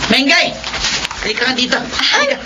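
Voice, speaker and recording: Radio drama mother: middle-aged woman, stern, worried, very animated pitch, noisy recording. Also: a little fast. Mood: angry, scolding. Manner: scolding, emphatic. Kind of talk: Filipino radio drama acting.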